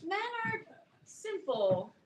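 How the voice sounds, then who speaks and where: Woman acting high-voiced world-weary teenage girl. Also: sing-song, takes it slowly, heavily acted. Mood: exasperated. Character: weary, proud, deadpan teenage friend. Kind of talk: staged play reading. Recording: echoey, distant, noisy stage recording.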